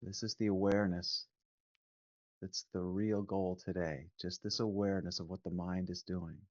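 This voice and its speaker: A man leading a guided meditation as a teacher, calm and measured, giving gentle instructions with long pauses. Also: low-pitched. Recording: phone-like narrow band, roomy echo.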